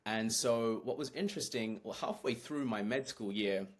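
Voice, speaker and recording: A physician guest with a mixed New Zealand and British accent, telling stories in a casual podcast chat, friendly and full of restarts. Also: male voice, lowish voice, moderate pace. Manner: friendly, chatty storyteller with many restarts.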